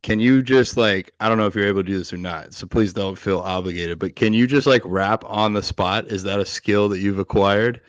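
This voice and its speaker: A male podcast host with a low, smooth voice asks his questions softly and earnestly, often hesitating. The recording is dry and a little dull in tone. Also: brisk, few pauses, dry recording. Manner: soft-spoken, hesitant, earnest. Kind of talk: casual interview questions.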